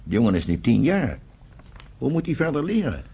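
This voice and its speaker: A man's voice: medium pitch, huge pitch swings, normal speed, creaky, warm tone, slightly rough, lo-fi sound, exaggerated voice. An actor playing a thoughtful, hesitant pioneer settler in his fifties in a radio drama. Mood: hesitant, concerned. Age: 50s.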